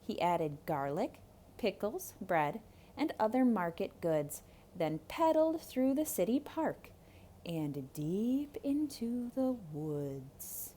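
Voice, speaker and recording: A woman reads a children's story in a theatrical, expressive way. Her delivery is unhurried, her pitch sits mid-range and it rises and falls with great animation. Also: slight background noise. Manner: dramatic, expressive. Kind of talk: theatrical children's read-aloud narration.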